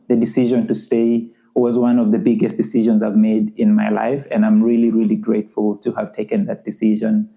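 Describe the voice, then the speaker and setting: A man's voice: on the low side, dark tone. A young adult man with a Ugandan accent, speaking earnestly as a podcast guest in a conversational interview and often saying "you know".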